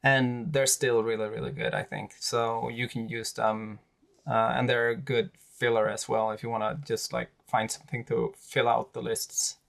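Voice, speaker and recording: Man, Swedish accent, gravelly voice, mid pitch, hesitant casual commentary. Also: level tone, moderate pace, a bit bright. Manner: hesitant, many fillers and restarts. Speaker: tabletop wargaming creator. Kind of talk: casual commentary on army lists.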